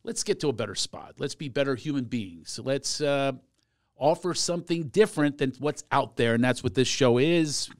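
A middle-aged man hosting a podcast in a small studio, animated and quick to laugh, talking close to a boom mic. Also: no echo. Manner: animated, laughs often, does voices. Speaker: podcast host. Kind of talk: casual chat. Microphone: studio mic on boom arm, headphones.

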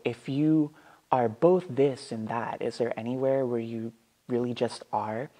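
A young man performing a personal monologue with earnest, measured storytelling. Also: medium pitch, mellow. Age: young adult. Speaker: student storyteller. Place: empty seating room indoors.

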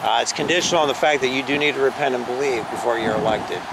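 A man's voice: medium pitch, fast talker. A man doing open-air street preaching and then debating a passerby, insistent and earnest, recorded on a camera in a parking lot. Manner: loud, insistent preaching that drops to calmer conversation. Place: outdoor church parking lot. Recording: wind noise on an outdoor camera mic.

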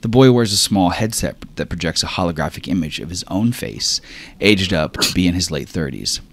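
A man acting as game master, narrating a tabletop roleplay session in a measured, descriptive way. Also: sits low, lively intonation, fairly bright tone, slightly rough.

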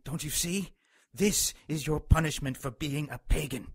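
A man's voice: on the high side, normal speed, husky. A man playing a dying, bitter, pious monk in a radio play, stern and fatalistic. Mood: stern, condemning. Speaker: radio drama actor. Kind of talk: dramatic radio play storytelling.

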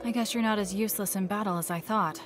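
A cool, aloof young woman soldier from an anime game, voiced by an actress. Her mid-pitched voice is blunt and curt, with a matter-of-fact delivery. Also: fast talker, loud music behind the voice, lightly acted. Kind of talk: anime-style video game dubbing.

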